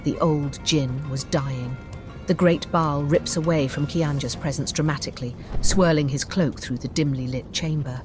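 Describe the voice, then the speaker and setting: A woman's voice: low-pitched, steady tone, moderate pace, music in the background. A woman giving scripted, dramatic narration as the storyteller of a fantasy audiobook.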